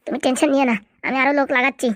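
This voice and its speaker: A woman's voice: sits high, level tone, very fast, a bit bright, no room sound, clean studio sound. A woman voicing a scheming male henchman in a comedy cartoon, cheeky and conniving. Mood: scheming, reassuring. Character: scheming, reassuring male henchman of a village politician.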